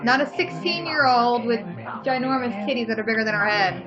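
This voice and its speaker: A woman's voice: fairly high pitch, fairly quick. A woman co-hosting a let's play, chatting casually in a blunt, opinionated way and swearing freely. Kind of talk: casual gaming commentary. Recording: dull lo-fi mic, loud music behind. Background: game music.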